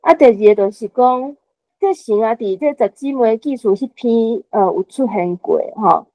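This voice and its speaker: A woman's voice: fairly high pitch, expressive, normal speed, dark tone, no echo, rough recording. A woman with a Taiwanese accent taking part in a literary discussion, methodically laying out questions point by point. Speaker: discussion panelist asking questions.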